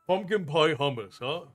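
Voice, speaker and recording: A man in his thirties from Ireland with an Irish accent mixed with American, chatting casually with viewers as a streamer and thanking them often. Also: a little high.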